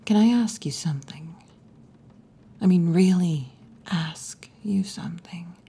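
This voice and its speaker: Hushed, low-voiced wolf girl bartender, acted by a woman. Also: exaggerated voice. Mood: intimate, probing. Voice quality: breathy, mostly whispered or half-whispered. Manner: slow, hushed, teasing, with long pauses. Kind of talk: ASMR roleplay, intimate scripted monologue. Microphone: close ASMR microphone.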